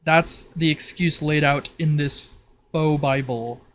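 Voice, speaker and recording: A man acting as game master, improvising narration for a tabletop roleplay in a halting, think-aloud manner. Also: on the high side, a bit bright, slight background noise.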